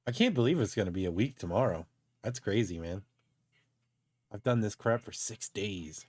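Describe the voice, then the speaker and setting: Low-pitched man in casual chat. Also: expressive, moderate pace, darkish tone, husky, dry recording. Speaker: livestream co-host.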